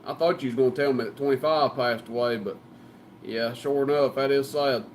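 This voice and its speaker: A man's voice: mid-range voice, fairly even intonation, a little fast, a little hiss or hum. A man with a Southern US accent chatting casually as a CB radio operator, folksy and joking. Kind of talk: casual banter over CB radio.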